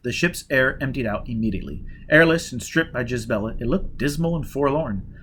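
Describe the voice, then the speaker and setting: A man reading a science-fiction novel aloud, his pitch roaming widely. His voice is bright and forward, and he leans into the drama of each scene. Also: lively intonation, brisk, a little hiss or hum. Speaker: audiobook reader. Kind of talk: novel narration.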